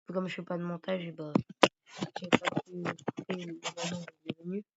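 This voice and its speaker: A man's voice: sits high, flat delivery, brisk, vocal fry, very noisy. A man with a cold and a sore throat, rambling hesitantly through casual commentary over his gameplay. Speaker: gaming YouTuber. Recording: dull lo-fi recording.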